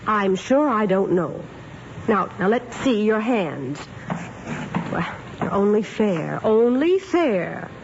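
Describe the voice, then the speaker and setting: Casting: fussy American mom, very expressive pitch, brisk, muffled noisy old radio recording. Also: female voice, vocal fry, exaggerated voice. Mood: mildly scolding. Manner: brisk, gently scolding. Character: fussy, proper American housewife mother. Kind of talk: 1950s radio drama acting.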